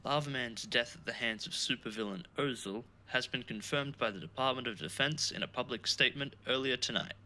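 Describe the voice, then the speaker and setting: A man's voice: medium pitch, a little fast, few pauses, bright, forceful tone, poor audio. A man playing a formal news anchor delivering a grave scripted bulletin in an audio drama.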